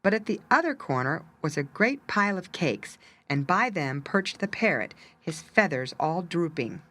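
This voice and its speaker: Animated woman storyteller, lowish voice. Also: moderate pace. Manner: expressive, dramatic emphasis. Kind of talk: animated children's story narration.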